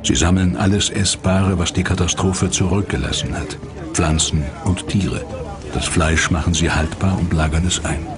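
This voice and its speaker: Deep-voiced male documentary narrator. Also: moderate pace. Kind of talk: scripted narration. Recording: music behind the voice. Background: music.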